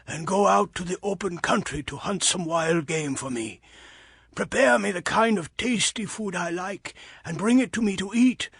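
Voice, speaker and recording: An aged, half-blind patriarch in a dramatized scripture recording. His husky voice sits a little high and moves deliberately, solemn and searching, with a dry, close sound. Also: fairly bright tone, dry recording, full character voice. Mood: weary, earnest. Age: older. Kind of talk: dramatized scripture audio acting.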